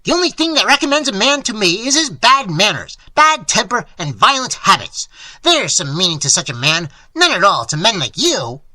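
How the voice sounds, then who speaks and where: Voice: medium pitch, wildly swooping pitch, normal speed, pushed and bright, slightly rough, no echo, exaggerated voice, rough recording. A narrator voicing an irascible older male painter who rants and craves quarrels, with an explosive, barking delivery, in a story reading. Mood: furious, shouting.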